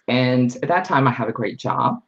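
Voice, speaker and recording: A woman's voice: a bass voice, normal speed. A middle-aged woman from Lithuania, a podcast guest speaking candidly and reflectively about her life, with frequent fillers. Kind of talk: personal storytelling. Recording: slightly dull lo-fi audio.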